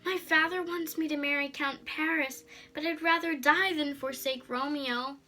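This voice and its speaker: A woman's voice: high-pitched, fairly even intonation, normal speed, bright, forceful tone, pitched above the performer's own voice. A woman voicing a desperate, grieving teenage girl in a storybook, pleading tearfully.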